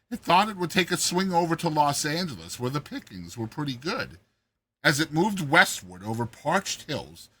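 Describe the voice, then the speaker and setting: Theatrical male narrator, very animated delivery. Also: medium pitch, husky. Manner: theatrical, expressive. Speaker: narrator, host of a reading series. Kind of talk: dramatized short story narration.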